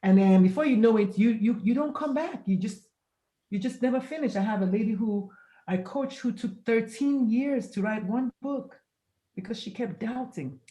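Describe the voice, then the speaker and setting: A woman in her fifties, an author and pediatrician, telling stories in a casual interview and acting out the dialogue with lively swings. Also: on the high side, normal speed, echoey room, poor audio. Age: 50s. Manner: animated storyteller, acts out quoted dialogue, laughs often.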